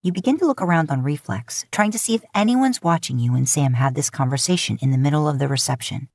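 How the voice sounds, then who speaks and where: A woman's voice: sits low, expressive, fast talker, a little mellow, no room sound, clean studio sound. A woman reading scripted fiction as an audiobook narrator.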